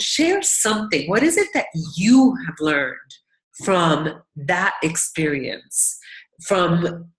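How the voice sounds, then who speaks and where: A woman's voice: lowish voice, roomy sound, low-quality recording. A woman with a congested, stuffy nose coaching new team members on a training call, upbeat and encouraging, often checking in with "right?" and "okay?". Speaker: business coach.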